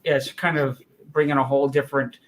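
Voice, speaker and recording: A man's voice: mid-range voice, little pitch movement, fast talker, rough recording. Encouraging man hosting an interview. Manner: encouraging, repeats words and restarts often. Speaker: talk show host. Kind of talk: casual interview.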